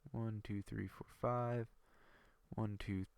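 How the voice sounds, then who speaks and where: A man's voice: fairly low pitch, level tone, slow pace, gravelly, darkish tone, clear, resonant tone, dry recording. A young man with a stuffy nose from a cold, rambling and thinking aloud with lots of fillers while commenting on his game. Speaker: gaming video creator. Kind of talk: casual gameplay commentary.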